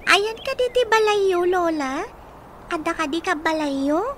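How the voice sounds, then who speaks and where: A woman's voice: extremely high pitch, lively intonation, measured pace, a bit bright, slight background noise, full character voice. A woman playing a curious, caring young child in a radio drama, earnest and full of questions. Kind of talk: Ilocano radio drama acting.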